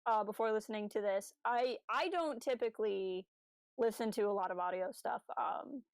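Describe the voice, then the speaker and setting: A woman's voice: mid-range voice, normal speed, no echo. Young woman chatting casually, dry close sound. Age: young adult. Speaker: podcast co-host. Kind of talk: casual podcast discussion.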